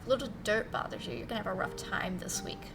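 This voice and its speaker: A woman's voice: mid-range voice, fast talker, whispered, recorded from a distance, natural voice. A woman voicing an icy, haughty female cousin in a visual novel, curt, formal and disdainful. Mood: irritated, dismissive. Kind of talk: visual novel character read-aloud.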